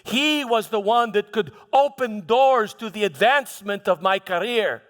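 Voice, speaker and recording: A man playing a proud, defensive Roman governor in a live church monologue, declaiming emphatically with halting repeated words. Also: high voice, a bit bright. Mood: proud, reminiscing. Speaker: preacher performing a dramatic monologue. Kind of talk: first-person theatrical church monologue. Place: large hall, live church performance. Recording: long hall reverb.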